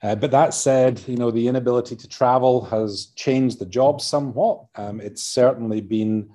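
A man's voice: medium pitch, steady tone, normal speed, warm tone. A middle-aged man with a Scottish accent, a university leader answering podcast interview questions, hesitant with many ums and restarts. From Scotland. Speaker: university vice-chancellor, guest.

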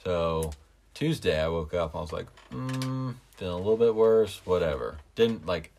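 A young man casually telling a story as a podcast guest, relaxed and rambling with lots of filler. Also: low-pitched, moderate pace, gravelly, slight background noise.

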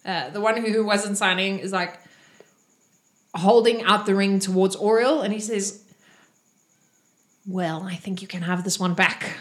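A woman with a light Irish accent runs a tabletop game, narrating scenes in a chatty, playful way. Her voice sits mid-range and moves lively through her sentences, with quick jokes and puns between the plot. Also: mid-range voice. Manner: chatty, punny, laughing asides. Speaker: game master.

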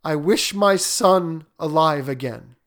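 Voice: medium pitch, takes it slowly, a bit bright. A storyteller voicing a frightened old husband, tender but shaken, speaking unsteadily and faltering in a storybook reading. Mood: fearful faltering.

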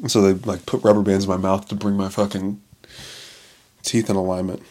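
A man in his late twenties with a fairly low voice hosts a loose solo podcast. He rambles and cuts himself off mid-thought. He swears freely and keeps laughing at his own remarks. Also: flat delivery, fairly quick, mellow. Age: 20s. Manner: profane, self-interrupting, laughs often. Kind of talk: casual rambling monologue.

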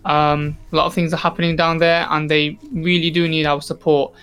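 A young man making a casual, unscripted podcast announcement as co-host, a little halting. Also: a little high, flat delivery, fairly bright tone, clean tone.

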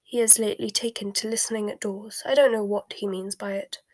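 A woman reading the lines of a cold, sardonic middle-aged male wizard in a hushed, breathy voice. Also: mid-range voice, level tone, no echo, close to an everyday voice. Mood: cool, sardonic. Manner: slow, sneering, measured.

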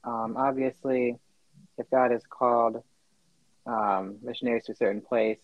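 A man's voice: medium pitch, fairly even intonation, moderate pace, no room sound. A man working as a missionary, speaking earnestly and steadily in casual conversation. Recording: dull dry recording.

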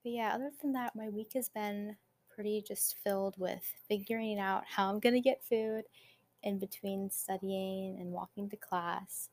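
A young woman chats casually on her own podcast, upbeat and a little rambling, slipping in quick asides. Her voice is naturally quiet, and her pitch sits in a middle range. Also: steady tone. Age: young adult. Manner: chatty, upbeat, quick asides with light laughs. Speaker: podcast host, college student. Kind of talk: casual solo podcast chat.

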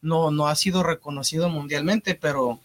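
Man, Mexican accent, fairly high voice, podcast co-host, casual, slangy, filler-heavy. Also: normal speed, fairly bright tone.

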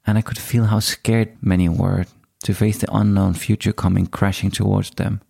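A Danish-accented man in his forties speaks in a low, slightly gravelly voice. He hosts his own podcast and tells his story at a calm, measured, reflective pace. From Denmark. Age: 40s. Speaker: podcast host. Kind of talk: scripted solo podcast monologue.

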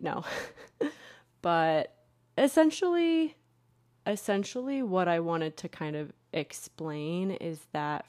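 Slow, low-voiced young woman podcaster. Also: a little mellow, no room sound. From Connecticut. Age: young adult. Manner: chatty and self-deprecating, with many fillers and restarts. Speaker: podcast host, therapist. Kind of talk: casual solo podcast talk.

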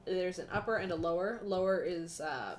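A woman's voice: fairly low pitch, moderate pace, reverberant. A young woman in her twenties, chatting casually and self-mockingly as a podcast co-host, with many fillers. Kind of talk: casual chat.

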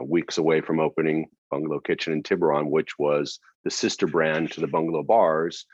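Deep-voiced man, rambling interview talk. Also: no room sound. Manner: rambling, frequent fillers and throat clearing. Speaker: guest, nightlife entrepreneur. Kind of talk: casual interview. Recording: dry, dull lo-fi audio.

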